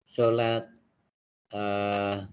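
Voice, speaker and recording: Slow, halting Indonesian-accented male lecturer. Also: lowish voice, monotone, darkish tone, clean tone. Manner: halting, repeats "let's say, like". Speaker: linguistics lecturer. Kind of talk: online class lecture.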